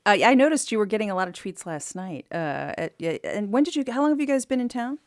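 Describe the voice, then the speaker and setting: A woman hosts a live radio show with guests and callers. Her voice is smooth and clear at an ordinary pitch. The studio sound is close and dry. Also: very animated, fast, no room sound. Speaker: radio talk show host. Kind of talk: live radio interview with call-ins.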